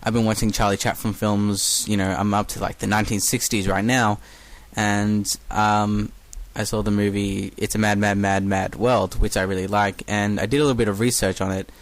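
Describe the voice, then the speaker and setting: A young man from Australia with an accent softened toward American, leaving a casual, halting listener message. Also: on the low side, level tone. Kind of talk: casual recorded question.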